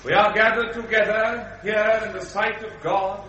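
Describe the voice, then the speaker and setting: High, echoing solemn clergyman. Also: fairly even intonation, normal speed, sharp tone. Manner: declamatory, intoning. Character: solemn clergyman conducting a royal wedding. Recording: very echoey church acoustic, dull, faint noise.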